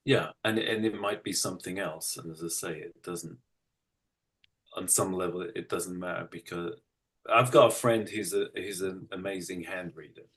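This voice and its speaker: A man's voice: sits low, normal speed, fairly bright tone. A middle-aged British man talking casually as an interview guest, explaining things in a matter-of-fact, self-deprecating way. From United Kingdom. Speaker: interview guest, energy practitioner and massage therapist.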